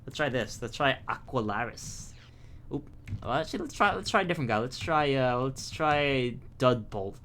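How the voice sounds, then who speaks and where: Bright-voiced rambling young gamer guy. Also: medium pitch, sing-song, normal speed, a little hiss or hum. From Philippines. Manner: rambling, profane, self-mocking tangents. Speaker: gaming YouTuber. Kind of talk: casual gameplay commentary.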